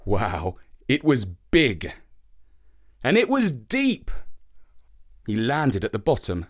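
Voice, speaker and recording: A man reading a children's folktale as an expressive storybook narrator. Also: mid-range voice, slow.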